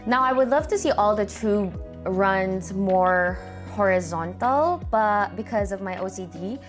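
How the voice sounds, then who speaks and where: A woman's voice: mid-range voice, animated, unhurried, soft background music. Chatty Filipino-accented woman host. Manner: chatty, upbeat, thinks aloud. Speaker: host reviewing viewer gaming setups. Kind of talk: casual commentary.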